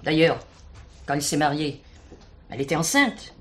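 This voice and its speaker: Radio drama: knowing, insinuating female witness, colloquial and indignant, slightly deep voice. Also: sing-song, normal speed, a bit bright. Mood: scornful, knowing. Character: plain-spoken working-class woman, gossipy, indignant witness. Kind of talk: French radio play acting.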